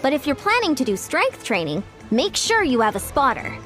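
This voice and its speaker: A woman's voice: sits high, wildly swooping pitch, moderate pace, fairly bright tone, faint music behind, heavily acted. A woman voicing an upbeat, encouraging young fitness coach in a video game, confident and instructive.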